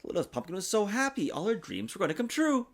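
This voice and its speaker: A man reading a children's storybook aloud in an expressive, sing-song way, as a father does for his young child. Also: sits high, quick pace. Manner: patiently answers child's interruptions. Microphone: desktop USB microphone.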